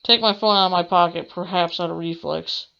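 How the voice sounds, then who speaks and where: Bright, forceful young-sounding woman gamer. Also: on the low side, fairly quick, creaky, lo-fi sound. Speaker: gaming channel host. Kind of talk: reading visual novel narration aloud for a let's play.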